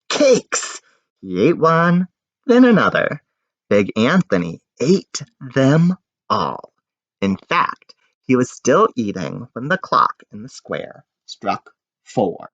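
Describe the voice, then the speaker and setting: Very animated man reading a storybook. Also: mid-range voice, slow pace. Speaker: teacher reading aloud to children. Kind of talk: expressive picture-book read-aloud with asides. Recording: dull, echoey room recording.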